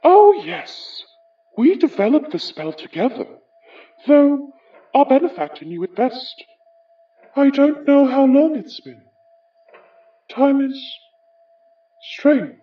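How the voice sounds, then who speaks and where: Slow, high-voiced haughty British ghost. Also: female voice, very animated, recorded from a distance, dull audio, exaggerated voice. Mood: wistful. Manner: theatrical, formal, deliberate. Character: haughty, bitter aristocratic ghost of an Edwardian occultist. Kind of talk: horror audio drama acting.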